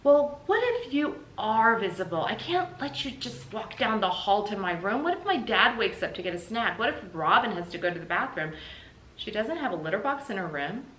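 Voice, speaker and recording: A woman's voice: medium pitch, big pitch swings, normal speed, fairly bright tone. A woman voicing an anxious, exasperated young boy, a big brother, in a children's book read-aloud. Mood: flustered, urgent. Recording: roomy, noticeable background hiss.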